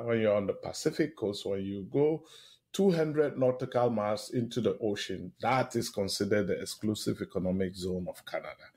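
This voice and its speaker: A man's voice: fairly low pitch, a little mellow, breathy edge. A man speaking as an expert guest in a radio interview, explaining emphatically and enthusiastically, often checking in with short tag questions.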